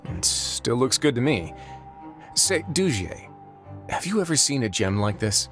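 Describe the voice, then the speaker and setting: A man voicing a calm, dryly humorous male prison warden of noble rank in a video game. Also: medium pitch, measured pace, over music. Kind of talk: game voice acting.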